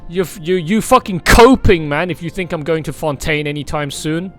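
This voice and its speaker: A man's voice: a little high, normal speed, fairly bright tone, faint music behind. A man chatting casually on a game livestream, blunt and mocking, swearing often. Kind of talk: casual livestream chat with gaming commentary.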